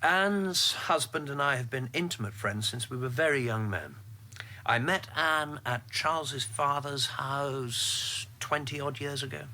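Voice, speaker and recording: An actor playing a guarded, reflective middle-aged government insider, measured and drily ironic, in a radio drama. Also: male voice, medium pitch, pushed and bright. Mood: firm, defensive. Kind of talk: radio drama narration and dialogue.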